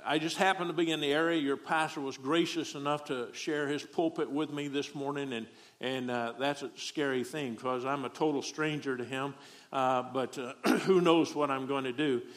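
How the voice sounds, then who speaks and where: A man's voice: medium pitch, fairly even intonation, moderate pace. Folksy Southern preacher man. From Texas. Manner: folksy, joking. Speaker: guest preacher. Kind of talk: sermon.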